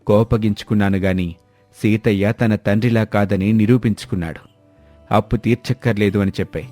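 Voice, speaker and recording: Humble village man for an animated moral story, played by a male actor: mellow, earnest, plain-spoken, over soft music. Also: fairly low pitch, a little fast, lightly acted. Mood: approving. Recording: music under the voice.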